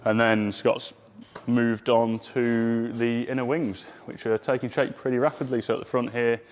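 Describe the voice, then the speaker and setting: A man with a cold and a hoarse voice, casually walking through a car build in a workshop with plenty of ums. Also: mid-range voice, normal speed. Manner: relaxed, lots of ums.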